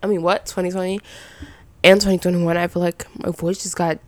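A young woman with a slightly raspy voice and some vocal fry at sentence ends, chatting casually and giving her opinions as a podcast host. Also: fairly low pitch, level tone, fairly quick, dry recording. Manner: informal, rambling, opinionated. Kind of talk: casual chatty solo commentary.

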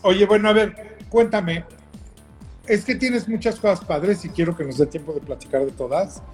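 A man's voice: high-pitched, normal speed, a little mellow, faint music behind. A man with a Mexican accent hosting a casual chat show, teasing and joking with slang. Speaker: host.

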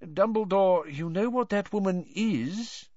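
A man's voice: unhurried, a little mellow, no room sound. A man reading an audiobook, voicing a flustered, pompous male government minister who blusters indignantly. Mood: blustering. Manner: blustering, indignant, high-pitched. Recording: dull, dry audiobook recording.

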